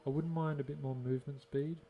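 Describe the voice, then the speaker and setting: A man's voice: a little high, steady tone, a little fast, narrow, tinny audio, soft background music. An Australian man giving casual gameplay commentary, musing and thinking aloud to himself. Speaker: gaming video host.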